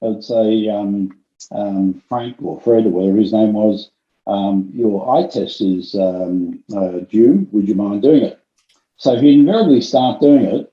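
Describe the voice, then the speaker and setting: A man's voice: lowish voice, unhurried. Man in a roomy, muffled recording. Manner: storytelling with many ums and restarts. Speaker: vision scientist. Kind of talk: conversational interview.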